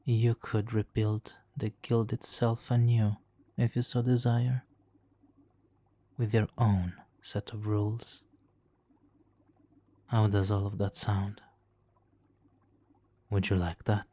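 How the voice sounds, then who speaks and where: A man playing a smug, seductive supervillain hypnotist in an ASMR roleplay, speaking softly and theatrically with lapses into whispers. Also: on the low side, little pitch movement, slow, long pauses. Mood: seductive, persuasive.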